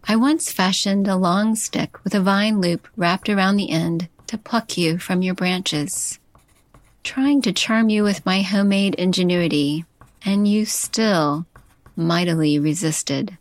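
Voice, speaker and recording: Slow, intimate woman narrating lyrically. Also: mid-range voice, dry recording. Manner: slow, intimate, poetic delivery. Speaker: podcast host and chef. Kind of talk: scripted narration, lyrical love letter.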